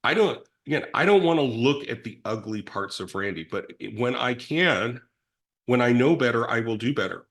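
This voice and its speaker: A man's voice: medium pitch, lively intonation, normal speed. A middle-aged man in recovery telling his story in a reflective, rambling way with many restarts and self-deprecating asides. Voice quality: big loud voice. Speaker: guest in recovery.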